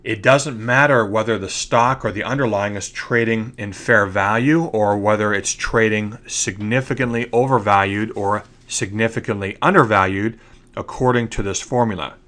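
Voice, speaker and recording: A man's voice: lowish voice, steady tone, moderate pace, fairly bright tone. A man with a congested voice from allergies, explaining trading ideas over slides and often checking in with "okay?". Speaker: trading educator. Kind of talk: screen-recorded slide lecture.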